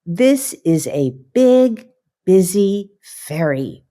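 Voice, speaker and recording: A woman voicing a cheerful little girl who cares for her puppy, in a gentle, sing-song read-aloud manner. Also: lowish voice, sing-song, extremely slow, pitched above the performer's own voice, heavily acted.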